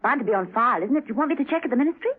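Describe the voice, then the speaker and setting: An actress playing an earnest, concerned female secret agent in a 1970s radio drama, gently insistent in manner. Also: fairly high pitch, lively intonation, very fast, breathy edge. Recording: thin phone-like 1970s radio recording.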